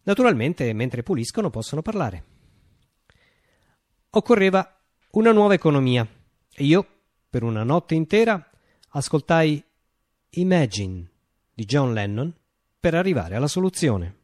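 An actor in a theatrical radio monologue, playing an eccentric self-styled ruler who declaims decrees and haltingly corrects himself. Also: male voice, fairly high pitch, sing-song, darkish tone, no room sound, clean studio sound. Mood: proud, reflective.

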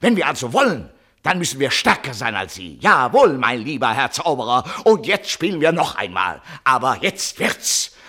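An actor playing a flustered, authoritarian radio station director in a theatrical radio play, speaking in a commanding, declamatory way. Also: male voice, medium pitch, big pitch swings, moderate pace, sharp tone. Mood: defiant, agitated. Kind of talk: theatrical early German radio play acting.

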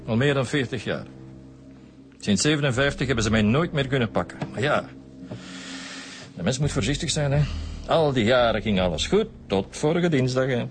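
A man's voice: medium pitch, expressive, moderate pace, long pauses, faint music behind, lightly acted. A man with a Flemish accent playing an aging career thief and smuggler in a radio drama, confessing in a colloquial, self-justifying way. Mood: defensive, confessing. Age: older.

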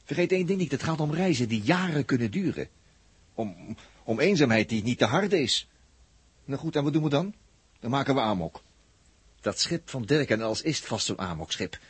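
An excitable spaceship crewman, with a very husky voice in a dry, close sound. He broods and stammers as he searches for words, acted in 1960s radio-drama style. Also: mid-range voice, normal speed, no room sound. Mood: urgent, explaining.

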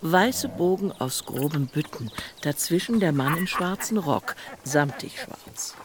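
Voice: mid-range voice, expressive, unhurried, background noise, natural voice. A performer narrating a literary radio play in a composed, precise and descriptive way, as an observant storyteller. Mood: observant, suspenseful. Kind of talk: scripted radio drama narration. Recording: music behind the voice.

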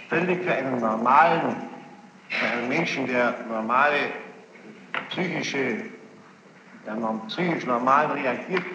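A man questioning a witness as a judge in court, formal and insistent, halting and repeating himself as he builds each question. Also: medium pitch. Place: large echoing courtroom. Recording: noisy muffled archival courtroom tape, echoing hall.

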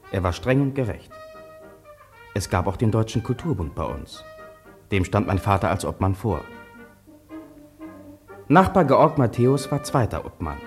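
A farmer's son narrating his memories in a radio play. The voice sits fairly low but moves expressively, sober and earnest, over faint music. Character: farmer's son recalling family and village past soberly. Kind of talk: radio drama first-person narration.

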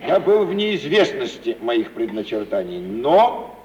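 An actor playing a florid, obliging gentleman given to lofty sentiment, declaiming grandly in the style of 1950s Russian radio theatre. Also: mid-range voice, very animated, unhurried. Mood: grandiloquent. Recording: dull old recording, distant, hissy.

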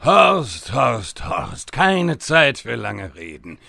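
An actor playing a bumbling, impatient time-travelling wizard who stammers and rambles in a comedic audio drama. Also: male voice, medium pitch, very animated, very slow, creaky, noisy background, full character voice. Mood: flustered.

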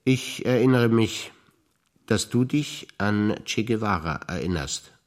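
Older man, stage actor, lowish voice, reflective, measured delivery. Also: expressive. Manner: measured, reflective, sinking to hushed low phrases. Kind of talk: scripted stage dialogue.

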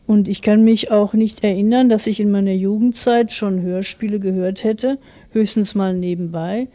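A woman's voice: medium pitch, steady tone, moderate pace, dark tone. A woman in her forties from Germany, explaining things as an interviewed writer. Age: 40s.

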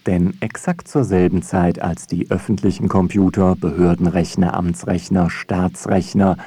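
A man reading scripted radio narration in an ironic, deliberate way. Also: deep voice, level tone, warm tone, husky.

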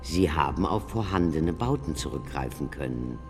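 An actor playing a grave, learned scholar in a radio play, explaining things in a measured, lecturing manner. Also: male voice, very deep voice, expressive, a little mellow. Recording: long echoing reverb, faint music.

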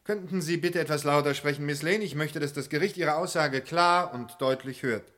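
A man's voice: a little high, moderate pace, fairly bright tone, natural voice. A man playing a formal, insistent courtroom lawyer who questions precisely, in a German radio crime play. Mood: formal, probing.

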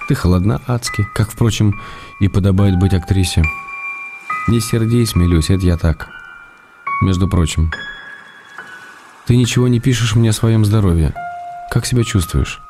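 An actor reading a writer's tender letters to his wife in a radio play, gently wry and unhurried. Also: on the low side, over music, lightly acted.

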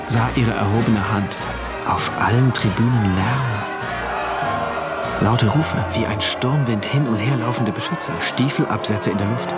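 An actor playing an awed, uneasy diarist, a loyal citizen of a dystopia, narrating a German radio play in a measured, literary way. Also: male voice, fairly low pitch. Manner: measured, literary, solemn recounting. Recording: loud music under the voice. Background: music.

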